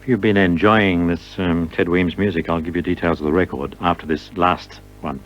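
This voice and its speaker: A man's voice: low voice, fairly even intonation, moderate pace. A man presenting a radio programme of vintage music in the manner of a show host. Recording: dull lo-fi radio recording, music.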